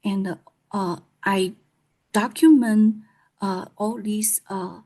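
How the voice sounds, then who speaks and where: Woman librarian with a Taiwanese Mandarin accent, Mandarin-native, mid-pitched, very slow, halting delivery full of fillers. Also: fairly even intonation, warm tone. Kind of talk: conference presentation.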